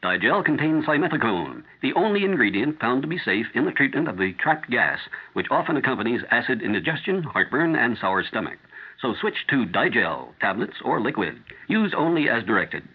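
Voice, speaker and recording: A man with a husky voice reads a 1970s radio commercial. He is emphatic, with widely varied intonation. The broadcast audio is thin and tinny. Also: mid-range voice, very animated, fairly quick. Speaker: commercial announcer.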